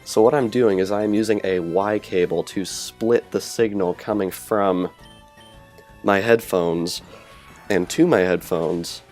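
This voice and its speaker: A man's voice: on the low side, darkish tone. A man casually talking over his gameplay, rambling and correcting himself as he goes, recorded through a cheap earbud headset microphone. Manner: rambling, self-correcting, chatty. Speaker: let's play commentator. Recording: lo-fi earbud mic with game music.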